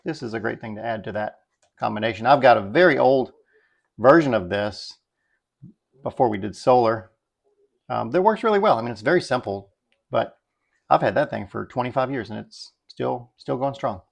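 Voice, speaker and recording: A middle-aged man with a creaky, gravelly edge to his mid-pitched voice. He talks like a reviewer chatting to his viewers, unscripted and easygoing, working things out aloud in a folksy way. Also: animated, normal speed, long pauses. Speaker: product reviewer, video host. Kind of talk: casual unscripted product review.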